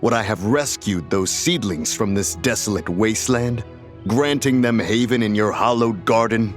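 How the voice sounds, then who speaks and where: A man's voice: on the low side, normal speed, soft background music, lightly acted. A man voicing a proud, grave, ancient immortal guardian in a video game, speaking in pompous, archaic, formal language. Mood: self-righteous, amused.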